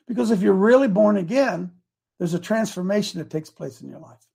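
A man's voice: high-pitched, fairly quick, gravelly, dark tone, slightly rough. A man in his seventies preaching a casual, folksy sermon, repeating his points with emphasis. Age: 70s.

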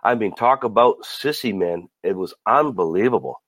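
A man with a lowish voice gone raspy from a summer cold speaks bluntly and casually. His sound is dry and close, with no room around it. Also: moderate pace, a bit bright, no room sound. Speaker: caller, ministry partner.

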